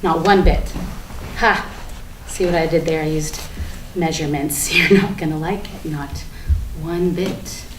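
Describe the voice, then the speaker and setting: A woman's voice: low voice, steady tone, unhurried, pauses often. A woman performing a theatrical monologue as a defiant, ranting everywoman, sardonic and emphatic in her delivery. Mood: taunting, confiding. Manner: sardonic, emphatic, swinging from hushed confiding to outraged ranting. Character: ranting, defiant everywoman gleefully confessing she killed Barbie. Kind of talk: theatrical monologue at a staged reading. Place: live staged reading in a room. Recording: echoey room with background noise.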